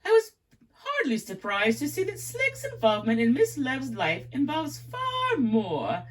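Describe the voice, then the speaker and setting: A woman's voice: high-pitched, huge pitch swings, a little slow, recorded from a distance, voice pushed up, exaggerated voice. A woman voicing a gossipy, genteel English music promoter in a put-on English accent that she calls poor, read aloud from a novel. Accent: put-on English accent, self-described as poor. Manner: genteel, gossipy, a bit pompous.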